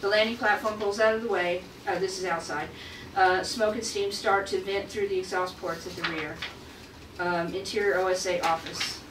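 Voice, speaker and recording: A woman narrating stage directions at a script table read, in an informal, halting way. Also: mid-range voice, fairly even intonation. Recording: echoey room, distant mic, noisy.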